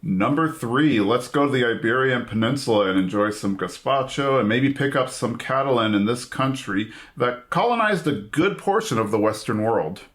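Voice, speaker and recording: A man hosting a trivia game, reading questions out in a measured way and confirming answers. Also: mid-range voice, animated, a bit bright. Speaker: quizmaster.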